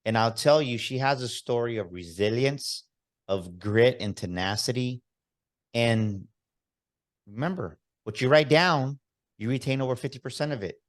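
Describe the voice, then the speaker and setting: A man's voice: mid-range voice, normal speed. A man hosting a motivational podcast, talking with enthusiastic, emphatic hype-man energy. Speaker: podcast host. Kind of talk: upbeat motivational podcast interview.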